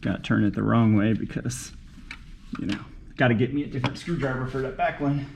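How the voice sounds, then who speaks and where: A man's voice: medium pitch, fairly quick, vocal fry, darkish tone. Folksy man talking in a noisy echoey space. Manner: folksy, muttering to himself and explaining to camera. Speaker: DIY car repair vlogger. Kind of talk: casual unscripted talk while working. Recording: echoey, noisy, clicking, uneven mic distance.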